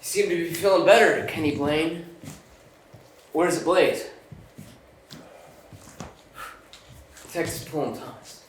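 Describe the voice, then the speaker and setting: A man's voice: a little high, normal speed, lightly acted. A man playing a tactful, doubtful junior detective in a live screenplay table read. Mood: questioning. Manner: hesitant, tactful. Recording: echoey room, clear background noise.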